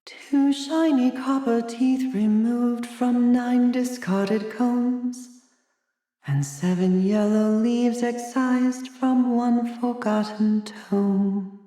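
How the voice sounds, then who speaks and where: Unhinged, slow-spoken lady doctor in echo. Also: fairly even intonation, mellow, far from the mic, faint music behind. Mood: eerie, singsong reciting. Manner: theatrical, erudite, haughty, swinging from whispers to shrill outbursts. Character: unhinged, haughty woman doctor locked away in an asylum. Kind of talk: dramatic video game character acting.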